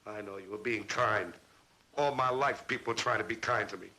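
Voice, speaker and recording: An actor playing a middle-aged, self-pitying man with a gravelly voice, speaking plaintively in a 1960s sitcom. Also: on the low side, fairly quick, bright, forceful tone, low-quality recording. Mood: mournful.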